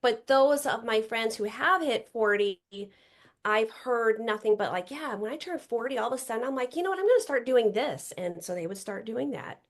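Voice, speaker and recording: A talkative woman in her late forties with a fairly high voice, chatting as a podcast guest. She sounds upbeat, circles back on her thoughts and restarts sentences as she goes. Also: expressive, normal speed. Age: 40s. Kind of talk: casual chat.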